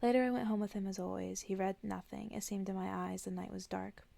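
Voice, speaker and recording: A woman reads a story for ASMR listeners in a very gravelly, fry-heavy voice at a middling pitch. She speaks softly and often slips into whispering, as a bedtime narration. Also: level tone, a little fast, no echo. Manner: soft-spoken, often dropping into whisper. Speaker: ASMR host reading a story aloud.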